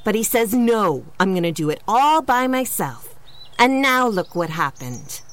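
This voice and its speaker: A woman's voice: mid-range voice, sing-song, unhurried. A woman playing an exasperated associate laying out the problem in a comedic audio drama.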